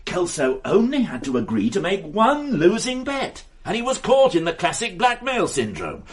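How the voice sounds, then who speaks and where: An actor playing a dogged, excitable police detective in a radio mystery drama, reasoning aloud with emphatic insistence. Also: male voice, sits high, moderate pace, husky, full character voice. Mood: excited, insistent. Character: dogged male police detective, excitable, sure of his theory. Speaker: investigator.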